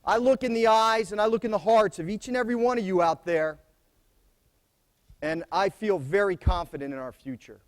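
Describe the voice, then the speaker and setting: A soft-spoken middle-aged American man giving opening remarks at a conference, plainspoken and repeating key phrases for emphasis. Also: sits high, normal speed. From United States. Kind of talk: conference speech to students.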